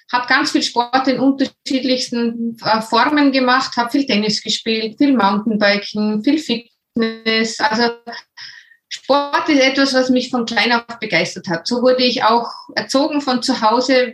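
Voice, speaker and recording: Middle aged austrian lady, bit high pitched, casual interview guest talking about herself. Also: fairly bright tone, poor audio. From Upper Austria and Salzburg region, Austria. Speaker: interview guest, health and nutrition coach. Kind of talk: casual self-introduction in an interview.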